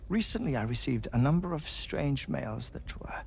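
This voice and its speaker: An actor playing an earnest, fussy middle-aged man in a video game cutscene, speaking with deliberate, formal, theatrical phrasing in a gravelly voice. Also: medium pitch, very animated, a little mellow, slight background noise. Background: music.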